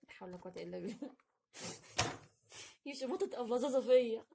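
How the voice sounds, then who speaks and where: A woman's voice: fairly high pitch, expressive, fast talker, vocal fry. A young woman with an Egyptian Arabic accent, chatting casually and playfully as the host of a home challenge video. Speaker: vlog host. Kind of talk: casual unboxing challenge chat. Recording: noisy home recording, crinkling packets.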